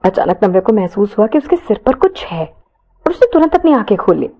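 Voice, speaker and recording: A woman's voice: mid-range voice, big pitch swings, fairly quick, lo-fi sound. A woman storyteller narrating a romance story in a dramatised style.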